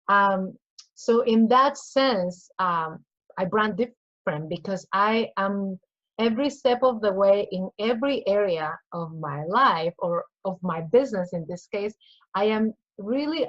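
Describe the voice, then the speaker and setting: Peruvian-accented woman, earnest, lo-fi sound. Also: medium pitch. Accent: Peruvian Spanish. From Peru. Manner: earnest, repeats phrases, acts out inner voices. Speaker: guest coach. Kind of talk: casual interview chat.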